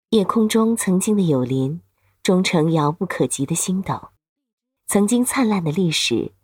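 A woman's voice: on the low side, a little mellow, slightly rough, no echo, clean studio sound. A woman hosting an audio drama and telling its story as scripted narration. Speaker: radio drama host and storyteller.

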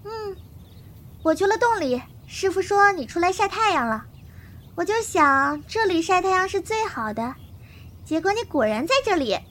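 Very high-pitched, earnest young wolf-raised girl, acted by a woman. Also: very animated, moderate pace, a bit bright, heavily acted. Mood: matter-of-fact. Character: earnest young wolf-raised martial arts girl, naive, blunt, devoted. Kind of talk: Chinese period audio drama acting. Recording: voice over prominent background music.

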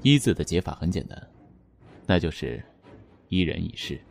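A man acting as a calm, shrewd, enigmatic fortune teller in a radio suspense drama, speaking in measured, cryptic phrases. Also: deep voice, creaky, full character voice. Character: calm, enigmatic, shrewd male fortune teller who reads written characters.

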